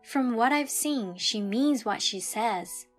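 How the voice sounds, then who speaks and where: Female story narrator: high register, expressive intonation, measured delivery of a first-person script. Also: soft background music.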